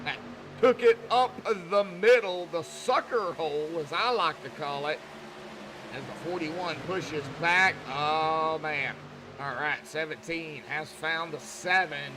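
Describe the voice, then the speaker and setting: High-pitched Southern US male race commentator. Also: slow, noisy background. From Arkansas, southern United States. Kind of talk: live sim racing commentary, folksy and conversational.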